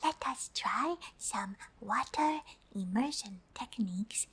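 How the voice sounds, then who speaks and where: A woman plays a cute, friendly alien girl in an ASMR roleplay. The voice is a breathy whisper, high and very slow. It sounds gentle and curious, explaining things with careful, slightly hesitant wording. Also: lively intonation, heavily acted. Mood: softly instructive. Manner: gentle, hesitant, third-person speech with careful scientific wording. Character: cute, curious, friendly pink female alien explaining human summer tips. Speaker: host.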